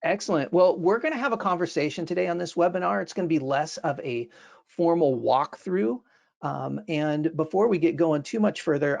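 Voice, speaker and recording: A man's voice: on the high side, gravelly. A middle-aged man hosting an education webinar over a video call from a home office, upbeat and earnest with some fillers. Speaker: webinar host. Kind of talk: webinar interview. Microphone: earbuds on video call.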